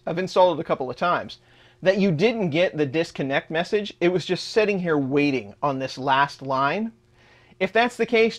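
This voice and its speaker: A man in his thirties, his voice sitting a little on the high side, walks through a software setup one step at a time. He talks the way a home tech host does, steady and explanatory, recorded in his workshop. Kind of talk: screen-recorded tutorial walkthrough.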